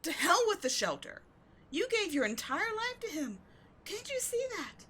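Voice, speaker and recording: A passionate, embittered villainess, acted by a woman in a dramatic audio roleplay. Her voice sits on the high side and she speaks with theatrical, emphatic intensity, devotion showing under the scorn. There is noticeable noise behind the voice. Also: a bit bright. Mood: accusing, scornful. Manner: theatrical, intense, emphatic, with sighs and dropped whispers. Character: bitter, passionate female villain secretly devoted to a wounded sidekick. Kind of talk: dramatic audio roleplay monologue addressed to the listener.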